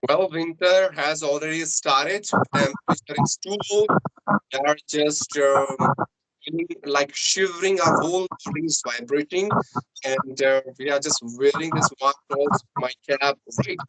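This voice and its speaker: Bright-voiced Indian English man coaching. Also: on the high side, fairly even intonation, unhurried, poor audio. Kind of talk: online conversation practice. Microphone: video call.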